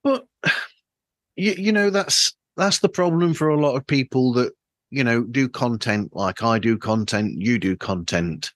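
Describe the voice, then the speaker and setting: A man's voice: medium pitch, no echo. Man, podcast host, mid-range voice, casual and rambling, opinionated, dry room-free sound. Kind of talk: casual interview chat.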